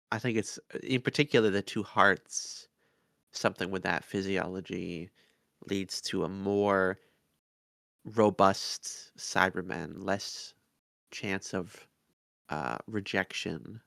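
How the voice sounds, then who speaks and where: Slow, gravelly, halting man. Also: low voice, fairly even intonation, fairly bright tone, no room sound, clean studio sound. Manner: halting, measured, speaks in short fragments. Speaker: podcast co-host. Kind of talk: casual review discussion.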